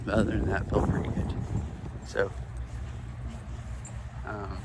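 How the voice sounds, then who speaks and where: A hoarse young man streaming outdoors, chatting casually and rambling with lots of fillers. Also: fairly low pitch, completely flat delivery, measured pace, very noisy. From Washington state. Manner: rambling, filler-heavy, with frequent "like". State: hoarse from lost voice. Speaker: IRL streamer. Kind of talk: casual live-stream chat. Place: outdoors by a flooded river.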